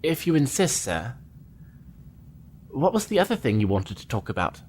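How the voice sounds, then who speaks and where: A man's voice: medium pitch, big pitch swings, moderate pace, a little mellow, slight background noise, close to an everyday voice. A man playing an eager young male engineering lieutenant who answers his captain readily in a fan audio drama. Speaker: engineering officer.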